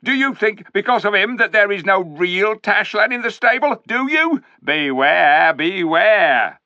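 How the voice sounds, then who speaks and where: A man's voice: sits high, animated, a little slow, pushed and bright, no room sound, pitched above the performer's own voice, heavily acted. A man voicing a pompous, commanding male warlord who thunders threats at a crowd in a storybook reading. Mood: thundering. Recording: dull lo-fi recording.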